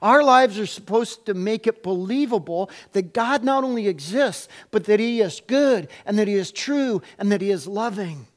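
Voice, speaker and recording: A man preaches a sermon in a high, ringing voice, loud and emphatic. He presses his questions again and again and slips in playful asides between points. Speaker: pastor.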